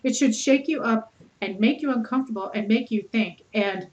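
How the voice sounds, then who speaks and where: A woman with a mezzo-soprano voice, hosting an interview podcast, animated, laughing often and speaking bluntly with swearing. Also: fairly high pitch, normal speed, dull audio. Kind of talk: casual interview chat.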